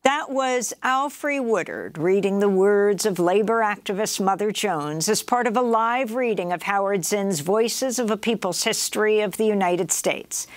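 A woman host narrating an introduction in a crisp, measured broadcast style. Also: mid-range voice, no room sound. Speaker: news show host.

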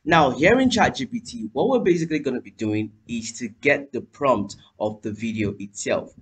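A young man hosting a screen-recorded software tutorial at his home computer, talking viewers through each step and spelling out what he types. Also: medium pitch, moderate pace, breathy edge. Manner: lively, addresses viewers as "you guys", spells out letters while typing. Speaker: tutorial host. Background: keyboard typing.

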